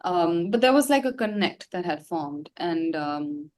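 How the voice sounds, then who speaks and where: Young female interview guest, slightly low register, informal and reflective delivery. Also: lively intonation, measured pace, darkish tone. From India. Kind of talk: casual, reflective interview chat.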